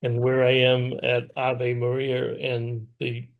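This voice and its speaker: A man's voice: medium pitch, monotone, slow, narrow, tinny audio. A man from New England with an American accent, co-hosting a casual RV news show in an easy conversational style. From New England, USA.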